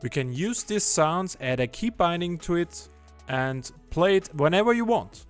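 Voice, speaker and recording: A young man narrating a software tutorial as its presenter. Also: high voice, animated, unhurried. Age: young adult. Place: indoor home room. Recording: background music under voice.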